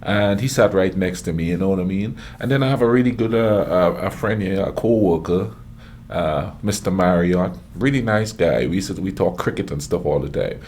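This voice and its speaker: A man's voice: deep voice, moderate pace, darkish tone, a little hiss or hum. A middle-aged man with a Caribbean accent, hosting a reaction video and chatting casually, with plenty of fillers and restarts. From Grenada. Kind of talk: casual chat reacting to clips.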